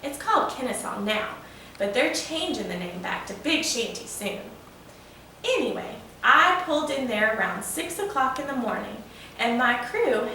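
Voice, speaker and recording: A woman's voice: fairly high pitch, pushed and bright. A woman voicing a proud, folksy old male steam locomotive in a children's storybook, telling a tale eagerly. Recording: echoey room, distant mic, faint noise.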